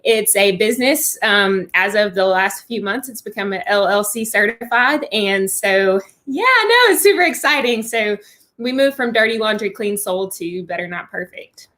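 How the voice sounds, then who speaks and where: A woman's voice: medium pitch, lively intonation, normal speed, pushed and bright. A woman with a Texas accent, chatting as a guest in a casual online interview and laughing easily. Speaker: podcast guest.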